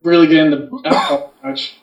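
A man co-hosting a podcast, telling personal anecdotes in a casual, matter-of-fact way with halting phrasing. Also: fairly high pitch, sing-song, muffled recording. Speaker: podcast co-host. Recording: roomy, distant from microphone.

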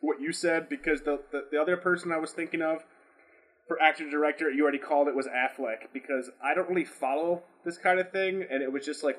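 A man's voice: mid-range voice, fairly bright tone, rough recording. A man co-hosting a podcast in casual chat, talking in a profane, slangy and exclamatory way. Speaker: podcast co-host. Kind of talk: casual chat answering fan questions.

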